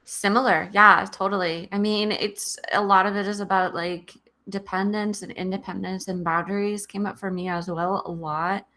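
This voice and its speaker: Bright-toned earnest woman co-host. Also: mid-range voice, level tone, normal speed, hardly any pauses. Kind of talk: reflective live chat.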